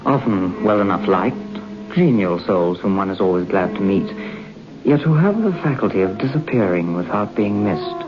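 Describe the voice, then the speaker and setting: An affable, fairly low-voiced gentleman in an old radio play, genial and inquisitive, with a lively rise and fall. The recording is dull and old. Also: normal speed, music in the background. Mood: reflective. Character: affable, curious male gentleman and narrator. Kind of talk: vintage radio drama acting.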